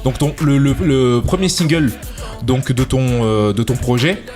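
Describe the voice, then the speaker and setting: A man's voice: mid-range voice, expressive, a little slow, darkish tone, faint music behind. Rambling male show host. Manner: rambling questions with fillers. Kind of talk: casual interview.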